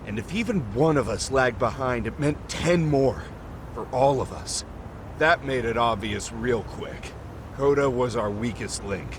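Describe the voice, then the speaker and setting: A man's voice: medium pitch, lively intonation, a little slow, background noise, natural voice. An actor playing an easily cowed young male student delinquent in a video game, talking in casual slang. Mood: complaining. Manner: casual slangy youthful talk.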